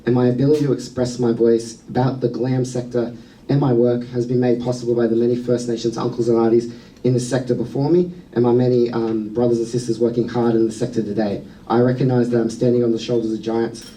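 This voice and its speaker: An Australian man giving a prepared talk at a museum sector event, earnest and measured, with frequent "um" fillers. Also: mid-range voice, level tone, warm tone, distant mic, slight background noise, rough recording. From New South Wales, Australia. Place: event venue.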